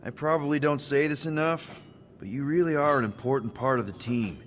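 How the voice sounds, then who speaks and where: A man's voice: mid-range voice, fairly quick, natural voice. A man plays an easygoing crew leader from a space video game. His voice sits in a middle range and he talks in friendly, rambling slang, with faint noise behind him. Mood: sincere, friendly. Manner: casual, rambling, boyish slang.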